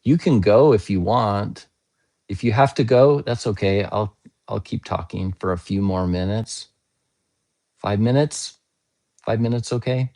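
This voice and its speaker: Slow, deep-voiced hoarse man. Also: dark tone. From United States. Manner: patient, explains and spells words out slowly. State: hoarse, scratchy voice. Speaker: English teacher hosting a live stream. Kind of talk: casual live-stream chat answering viewer comments.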